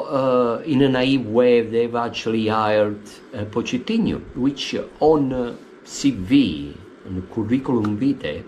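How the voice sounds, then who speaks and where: A middle-aged man with an Italian accent talks slowly and haltingly, filling pauses with "uh" while his pitch rises and drops. His voice sits fairly low. It is picked up by a webcam in a living room with a faint hum behind him. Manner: hesitant, many uh fillers, animated pitch swings. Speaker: football fan vlogger. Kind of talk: opinionated casual commentary. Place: living room at home, indoors.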